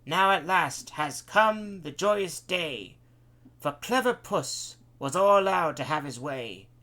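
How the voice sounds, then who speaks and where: A dashing stage cat in a storybook pantomime, put on by a male narrator, declaiming his rhyme with a grand flourish. He stretches the words slowly and lifts the voice high and bright. Everything is pitched to the back of the house. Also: expressive, full character voice. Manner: grand, theatrical rhyming verse.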